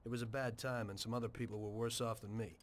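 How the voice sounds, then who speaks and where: An actor playing a weary former taxi driver turned mob informant in a video game, with an American accent and a measured, matter-of-fact delivery. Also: male voice, medium pitch, fairly even intonation, noisy background, close to an everyday voice. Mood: reflective. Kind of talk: video game dialogue and narration.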